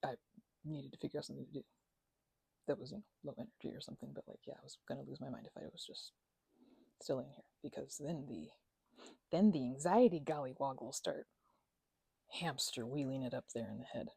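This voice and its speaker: A woman's voice: a bass voice, creaky, warm tone, slightly rough, dry recording, full character voice. A woman playing a chatty, playful, bashful young housemate in an ASMR roleplay, rambling and stammering as if in casual conversation. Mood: drowsy, rambling. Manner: rambling, halting, stammering, giggly asides.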